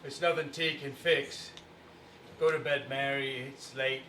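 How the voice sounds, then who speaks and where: An actor playing a troubled, suspicious husband in a stage musical, speaking in a subdued, terse way. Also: male voice, medium pitch, steady tone, a little slow, pushed and bright, slight background noise. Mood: brooding, suspicious. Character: troubled, suspicious husband brooding over his wife's betrayal. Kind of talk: stage musical acting and singing. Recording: roomy, distant theatre stage recording.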